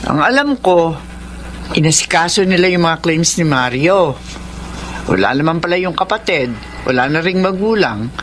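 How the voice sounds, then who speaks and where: An actress with a Filipino accent playing a good-natured, fussing wife and caretaker in a radio drama, chatty in manner. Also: female voice, fairly low pitch, animated, normal speed, fairly bright tone, a little hiss or hum. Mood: fussing, cheerful.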